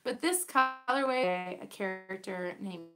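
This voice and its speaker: A young woman hosts a homespun knitting podcast, rambling happily through her projects. Her voice is raspy from a recent cold. She talks in a chatty, bubbly way, full of little fillers and asides. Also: medium pitch, a little slow, over music. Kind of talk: casual show-and-tell chat.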